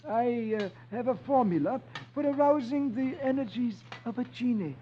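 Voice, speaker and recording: High-pitched, doddering little old scholar. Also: male voice, animated. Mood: mild, apologetic. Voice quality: high, thin old-man voice. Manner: halting, hesitant, with little uhs. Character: little old bald eccentric scholar-sorcerer, mildly absent-minded. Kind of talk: 1950s radio comic-strip dramatization. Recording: muffled, noisy old radio recording.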